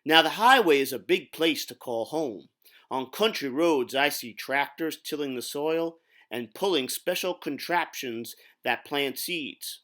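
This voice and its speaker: A man's voice: fairly high pitch, animated, measured pace, bright, forceful tone. A man voicing a friendly, boastful big truck in a folksy storytelling manner for a children's storybook read-aloud. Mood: proud, folksy.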